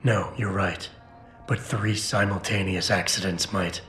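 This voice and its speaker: An American actor playing a stoic, gravel-voiced cyborg security operative in a video game, terse and deadpan. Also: male voice, deep voice, measured pace. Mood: businesslike. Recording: roomy game audio with music.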